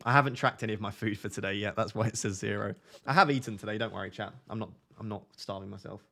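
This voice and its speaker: A young man hosting a live stream, chatting casually with his viewers in a flat, monotone, self-deprecating way. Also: lowish voice, fast talker, creaky. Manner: self-deprecating, chatty, reads out chat messages. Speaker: livestream host.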